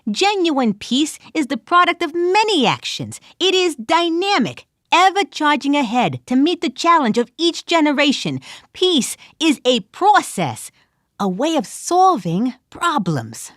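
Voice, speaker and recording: A woman's voice: sits high, sing-song, a little slow, fairly bright tone, no room sound, studio quality, pitched above the performer's own voice, heavily acted. A woman voicing an earnest adventurer who gives a grand, formal plea for peace.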